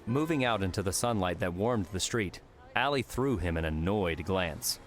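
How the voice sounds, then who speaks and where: A man narrates fiction in a very deep voice. It is a scripted audiobook reading, with soft music behind him. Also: animated, normal speed.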